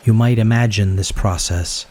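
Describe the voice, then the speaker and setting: A man guiding a yoga nidra meditation in a soothing, unhurried way, speaking in short phrases. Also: on the low side, fairly even intonation, mellow, a little hiss or hum. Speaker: guided meditation teacher.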